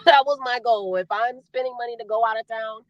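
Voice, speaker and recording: A young adult woman with a deep voice, a singer talking casually as a podcast guest, laughing often and repeating herself as she speaks. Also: brisk, dull audio. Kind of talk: casual interview chat.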